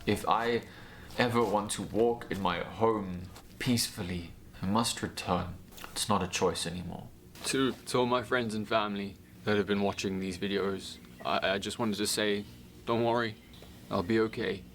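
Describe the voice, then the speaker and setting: Male actor as weary, determined caver, low voice, hesitant confessional delivery, slightly noisy amateur recording. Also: fairly even intonation, a bit bright. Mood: reassuring, uneasy. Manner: halting, hesitant. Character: weary, resolute male amateur caver keeping a video journal. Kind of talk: first-person found-footage monologue. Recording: noisy amateur video with ambient sound.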